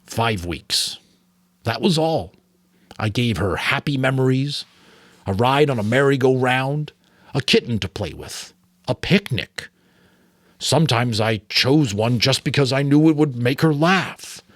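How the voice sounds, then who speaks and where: Guy doing a sad tired old mentor from an audiobook, slow, bit rough, very earnest. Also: medium pitch, animated, dry recording, clean studio sound, pitched above the performer's own voice. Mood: grieving. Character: sorrowful, weary old male mentor confessing painful memories.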